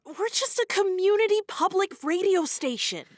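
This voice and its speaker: A woman's voice: very high-pitched, lively intonation, moderate pace, no room sound, studio quality. An actress playing an earnest, naive woman reporter in an audio drama, hesitant and incredulous in manner. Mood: disbelieving. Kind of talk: naturalistic audio drama dialogue.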